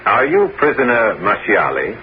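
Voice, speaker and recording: An actor in a 1970s radio drama playing an adventurous former musketeer turned bishop, courteous and gently probing, in a measured theatrical manner. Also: male voice, fairly low pitch, wildly swooping pitch, slight background noise. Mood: storytelling, resolute. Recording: dull 1970s radio recording with music.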